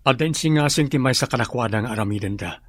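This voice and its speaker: A man's voice: medium pitch, fast, fairly bright tone, no echo, natural voice. A man with a Filipino accent acting as a sober male boss in an Ilocano radio drama. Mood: explaining.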